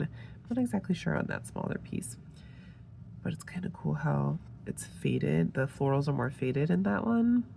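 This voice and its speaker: A woman getting over a head cold, sounding a little nasal, casually showing off a sticker kit with relaxed, gushing asides. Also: low voice, creaky, a little hiss or hum. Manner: relaxed, gushing, with fillers and soft trailing asides. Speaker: planner sticker reviewer. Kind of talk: casual show-and-tell narration.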